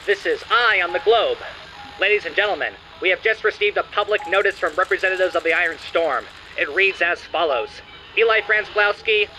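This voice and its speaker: An actor playing an urgent, formal 1920s radio newsreader delivering a bulletin with clipped emphasis. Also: male voice, high-pitched, pushed and bright, poor audio. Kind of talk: old-time radio news bulletin. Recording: faint mechanical background noise.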